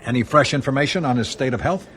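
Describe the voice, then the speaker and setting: A man playing a genial, smooth-talking American sales executive in a radio drama, effusive and formally courteous. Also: mid-range voice, quick pace, a bit bright, slight background noise, lightly acted. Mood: genial, probing.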